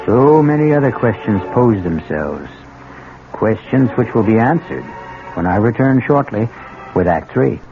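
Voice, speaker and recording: A man playing the suave, ominous host of a 1970s radio mystery, narrating in a deliberate, theatrical way. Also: medium pitch, lively intonation, vocal fry. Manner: deliberate, dramatic. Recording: narrow old radio recording, loud music.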